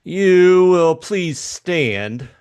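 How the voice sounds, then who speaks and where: A man plays a polished little gunman from a noir audiobook, formal and over-courteous yet menacing. He speaks very slowly, with a nervous edge and swinging pitch. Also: expressive, a little mellow, clear, resonant tone, full character voice. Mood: politely menacing.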